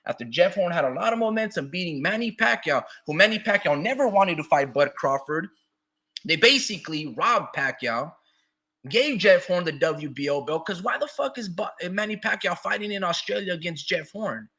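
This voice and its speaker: A man hosting a boxing talk show, arguing in an animated, profane way while losing his voice a little. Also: high voice, normal speed. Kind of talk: heated casual boxing debate.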